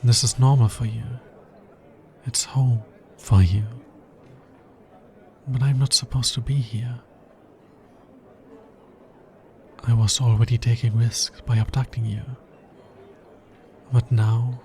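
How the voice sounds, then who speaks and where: A man playing an anxious, logical alien scientist in a soft, intimate ASMR roleplay, speaking in a hesitant, formal way. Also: mid-range voice, level tone, unhurried, pauses often, a little hiss or hum, lightly acted. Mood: nervous.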